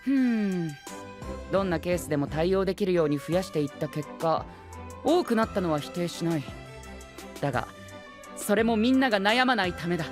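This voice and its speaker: A prim young gentleman knight in an anime voice drama, his voice high and very animated. He is self-assured and rigid, lecturing formally with English exclamations thrown in. Faint music runs underneath. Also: brisk, pauses often. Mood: smug, lecturing. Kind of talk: anime-style voice drama acting.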